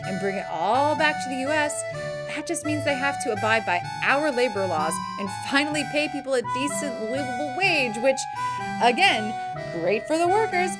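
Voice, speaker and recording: A woman's voice: high-pitched, expressive, moderate pace. An American woman hosting a film news show, delivering scripted commentary in a conversational, opinionated, explanatory way. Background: background music.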